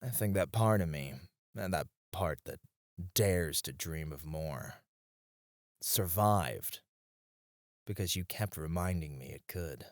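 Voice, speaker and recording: A man playing a battle-weary knight in a romantic ASMR roleplay, speaking softly and intimately with tender, wistful devotion to a comrade. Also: low-pitched, animated, slow, pauses often, no echo, studio quality, heavily acted. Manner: soft, intimate, hesitant with small chuckles.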